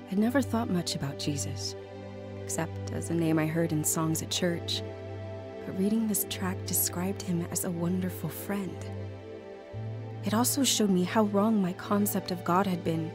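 A woman's voice: on the low side, natural voice. An actress playing a lonely, hesitant woman who has found faith and tells her story earnestly in an audio drama. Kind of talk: audio drama dialogue and first-person narration.

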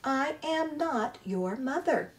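A woman voicing a polite, literal-minded female robot who speaks in a flat, measured, formal way in a storybook reading. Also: sits high, pitched above the performer's own voice.

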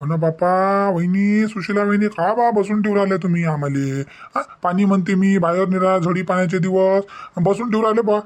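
A man acting as a village brother-in-law with a high voice and a rural Vidarbha accent. He teases and complains in folksy, repetitive phrases, in the style of a comic story cartoon. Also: brisk, natural voice. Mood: suspicious, grumbling. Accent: rural Vidarbha Marathi.

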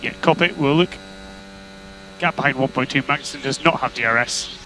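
A man's voice: medium pitch, brisk, sharp tone. A man working as a race engineer, giving terse, repeated instructions over motor racing team radio. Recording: noisy team radio with electrical hum.

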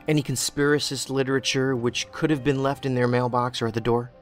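An actor playing an analytical investigator in an audio drama, dry, precise and inquisitive. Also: male voice, mid-range voice, level tone, a little fast, soft background music, close to an everyday voice. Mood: dry, probing. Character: male investigator, analytical, dry, probing skeptic.

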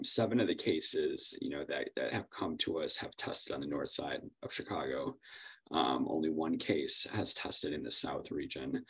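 Deep husky guy in his 40s on a video call, earnest and worried, lots of ums. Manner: earnest, concerned, many fillers. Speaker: clinician.

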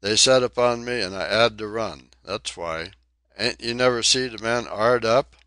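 A ragged, impudent sailor with a thick Cockney accent, dropping his aitches as he whines about his rights, put on by a male reader. The voice sits low and sounds dry and close. His tone swings between cheek and complaint. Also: normal speed, pushed and bright, clean tone, dry recording. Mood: indignant. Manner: whining, indignant, dropped aitches.

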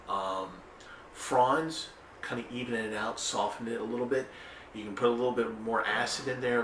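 A man's voice: medium pitch, a bit bright, distant mic, slight background noise. A middle-aged man hosting a home cooking video, talking casually with lots of ums and restarts. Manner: rambling and chatty, many ums and restarts; gestures with hands while talking. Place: home kitchen, indoors. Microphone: camera a little way off. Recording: echoey kitchen, voice a bit off-mic.

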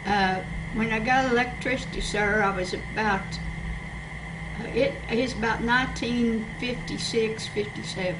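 A woman's voice: a little high, fairly even intonation, a little slow. A woman in her seventies with an East Tennessee accent, chatting and agreeing as she recalls old times in a small library room. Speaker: storyteller. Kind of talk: casual group reminiscing. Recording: noisy room recording.

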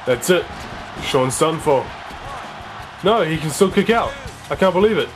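A man's voice: a little high, measured pace, over music. A young man with a slightly sore voice commenting casually on a wrestling video game and reading its dialogue aloud. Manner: chatty, excitable, reads game dialogue aloud. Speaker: let's play gamer.

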